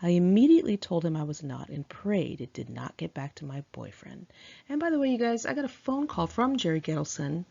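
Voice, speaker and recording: A woman's voice: on the low side, sing-song, a little fast, creaky, mellow. A woman reading her own memoir aloud in a candid, chatty, sassy conversational style, on a dull lo-fi home recording.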